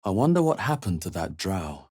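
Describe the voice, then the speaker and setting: An actor playing a wry, wary adventurer hero in a fantasy video game, speaking in a measured, understated, dry way. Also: male voice, low-pitched, very animated, dark tone, slightly rough, heavily acted. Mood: wry, watchful. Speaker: video game player character voice. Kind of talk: scripted fantasy game exploration barks.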